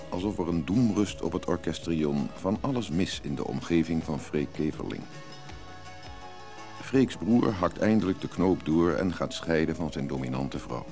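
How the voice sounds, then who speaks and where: A man reading a scripted recap as narrator of a Dutch radio drama serial. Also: deep voice.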